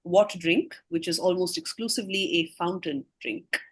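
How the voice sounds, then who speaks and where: A woman's voice: lowish voice, moderate pace, breathy edge. A woman quizmaster reading scripted questions aloud, with casual asides to the players.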